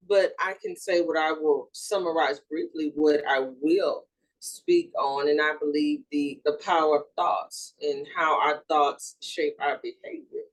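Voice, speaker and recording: A woman in her thirties from Houston, a grief and trauma therapist, giving an earnest, measured presentation with small fillers and self-corrections. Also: low-pitched, low-quality recording. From Houston, Texas. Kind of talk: recorded educational presentation.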